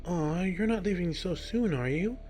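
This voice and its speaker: A man's voice: high-pitched, animated, normal speed, a little mellow, faint music behind, pitched above the performer's own voice. A man voicing a friendly, curious young bookish woman in a story reading, given to puzzled questions. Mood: warm, coaxing. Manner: thinks aloud in puzzled questions.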